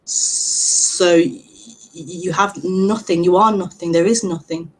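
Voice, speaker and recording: A woman with a stutter, hosting an online meeting and giving a gentle, reflective spiritual talk with frequent repetitions and blocks. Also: lowish voice, fairly even intonation, slow, poor audio. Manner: gentle, reflective, laughs lightly at herself. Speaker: non-duality teacher hosting an online meeting. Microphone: online call broadcast.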